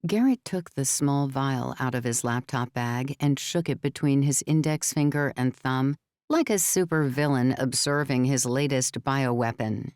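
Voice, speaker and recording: Low-voiced woman narrating a novel. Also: darkish tone, no echo, studio quality. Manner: measured, storytelling. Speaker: audiobook narrator. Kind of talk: scripted fiction narration.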